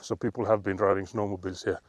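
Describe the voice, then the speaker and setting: A man's voice: sits low, level tone, quick pace, no echo. Chatty Finnish-accented man outdoors. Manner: chatty, laughs often, reads chat aloud. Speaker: streamer. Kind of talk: casual live-stream chat while walking. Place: outdoors on a frozen lake.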